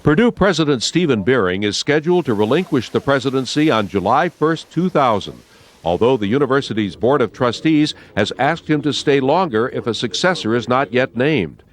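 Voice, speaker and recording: An American man reads scripted newsreel narration. His pitch sits in the middle and moves in a lively, animated way as he delivers each item. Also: moderate pace.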